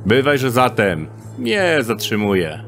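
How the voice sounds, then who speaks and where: A scheming thief, acted by a man, in an audio drama, coaxing in old-fashioned, stilted phrasing. His pitch swoops about and he drags his words out slowly. Faint music plays underneath. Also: wildly swooping pitch, a bit bright, heavily acted. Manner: archaic, stilted speech, sly and persuasive. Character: shifty male thief Młot, archaic wheedling schemer.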